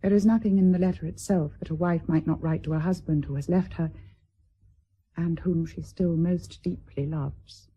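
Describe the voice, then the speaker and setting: An actress playing a proud, nervous, wounded estranged wife, middle-aged, with a British accent and a formal, hesitant manner in a period radio drama. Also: female voice, lowish voice, fairly even intonation, moderate pace, warm tone, husky, lo-fi sound. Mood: pleading, wistful.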